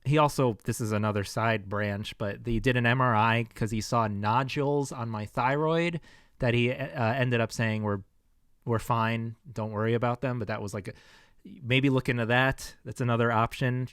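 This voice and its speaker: A man with a fatigued, scratchy throat talks in a hesitant, rambling vlog manner, full of restarts. The sound is close and dry with no room echo. His pitch sits in the middle range. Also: fairly even intonation, normal speed, a bit bright, clear, resonant tone. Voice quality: scratchy, tired voice. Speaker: vlogger sharing health experience. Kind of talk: casual personal vlog. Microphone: close microphone.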